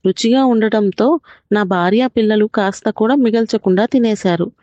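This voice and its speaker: A woman's voice: mid-range voice, normal speed, mellow, dry recording, dull audio, lightly acted. A woman voicing a stingy fox who plays the generous host, talking effusively in a children's storybook tale. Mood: falsely regretful.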